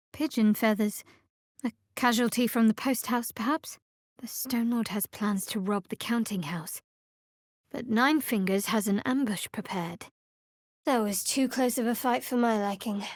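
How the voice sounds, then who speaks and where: An actress voicing a guarded, devout dark cleric woman in a video game, with a dry, sardonic, measured delivery. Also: on the high side, no echo, natural voice. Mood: watchful, measured, then cool, composed. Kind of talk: video game character voice acting.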